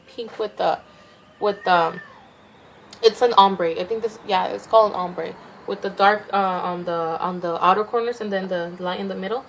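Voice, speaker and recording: A woman's voice: fairly high pitch, slight background noise, rough recording. A young woman from Mexico with a Mexican accent, chatting through a makeup tutorial in a rambling, self-conscious way with many restarts. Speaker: makeup vlogger.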